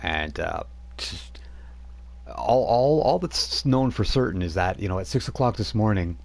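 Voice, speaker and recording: A man's voice: fairly low pitch, animated, a little slow, creaky. A man running a tabletop role-playing game over voice chat, narrating loosely with many fillers and restarts. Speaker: game master.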